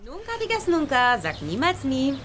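A woman playing a bright, confident schoolgirl reporter in a children's audio drama. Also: high voice, wildly swooping pitch, moderate pace, background noise. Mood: eager, confident. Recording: street ambience behind the dialogue.

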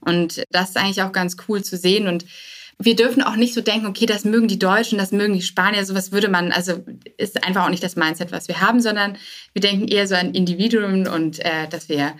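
A woman with an average-pitched voice speaks as a guest in an interview. She sounds informal and conversational, feeling her way through answers and dropping in English business terms. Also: fairly even intonation, fast talker, a bit bright. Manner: informal, hesitant, sprinkles in English business words. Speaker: interview guest, start-up co-founder.

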